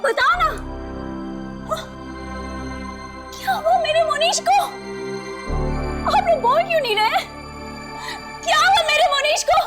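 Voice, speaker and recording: Distraught woman for TV horror drama, very high wailing, imploring delivery, loud music underneath. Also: measured pace, long pauses. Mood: shocked grief. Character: distraught woman pleading and grieving in horror melodrama. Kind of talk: melodramatic TV horror acting.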